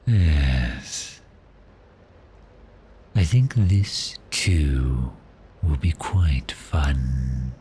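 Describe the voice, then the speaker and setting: Man playing a very deep, slow, whispery vampire. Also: expressive, slight background noise, exaggerated voice. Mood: teasing, amused. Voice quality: breathy, half-whispered, very deep. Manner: slow, teasing, menacingly gentle, lingering pauses. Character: cheerful, predatory male vampire, silkily toying with prey. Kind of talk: ASMR roleplay, intimate scripted monologue.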